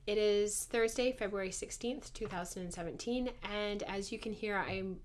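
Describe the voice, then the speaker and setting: A woman hosts a podcast, chatting casually to viewers with a hoarse, congested voice from a bad cold. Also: mid-range voice, normal speed, slight background noise. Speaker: podcast host.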